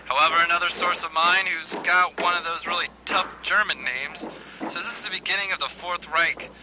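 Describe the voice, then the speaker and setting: A man's voice: fairly high pitch, steady tone, normal speed, close to an everyday voice. A man playing a glib, blustery foreign correspondent in a satirical radio news spoof. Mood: glib, reporting. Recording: noisy outdoor ambience with vehicles.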